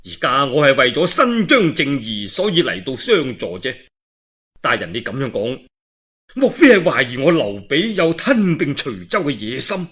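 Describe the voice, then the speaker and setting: A man voices a courteous, righteous warlord in a historical tale. His delivery is formal and earnest. The voice is a little husky, with lively rises and falls. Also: mid-range voice, normal speed. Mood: humble protest.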